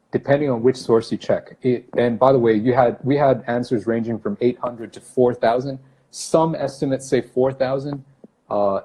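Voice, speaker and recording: A man from Brunei in his thirties talks loosely to his livestream viewers from a small room, filmed on a phone held at arm's length. His tone is warm and mellow, a little husky, and he often stops to correct himself. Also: medium pitch, low-quality recording. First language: not English. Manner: chatty, self-correcting, greets viewers by name. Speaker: English teacher hosting a livestream. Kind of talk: casual livestream talk to viewers. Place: small office room indoors. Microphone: phone camera at arm's length.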